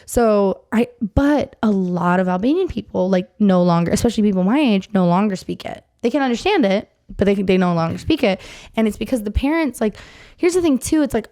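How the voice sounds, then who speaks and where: A young adult woman co-hosting a casual podcast, talking in an emphatic, animated way with plenty of fillers. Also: medium pitch. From United States. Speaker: podcast co-host. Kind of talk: casual chat.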